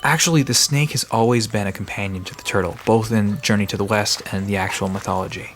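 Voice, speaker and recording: A man's voice: fairly low pitch, fast talker, natural voice. A man playing a friendly, nerdy guide to mythical creatures in an audio drama, explaining lore eagerly with hesitant asides. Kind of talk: conversational audio drama dialogue.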